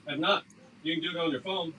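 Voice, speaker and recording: A man's voice: fairly high pitch, steady tone, quick pace, sharp tone, husky, rough recording. A man making teasing, joking asides in a casual livestream chat. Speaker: co-host. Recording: off-mic, from across the room.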